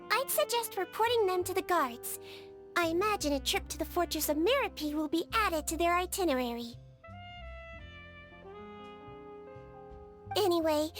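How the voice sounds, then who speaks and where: A tiny, sweet melusine nurse from a video game speaks in an extremely high, childlike voice. She is earnest and polite, soothing and caring in how she talks. Soft music plays behind her. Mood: earnest, gentle. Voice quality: childlike, girlish. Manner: gentle, earnest, polite, reassuring. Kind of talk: video game character voice lines. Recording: studio voice over game music.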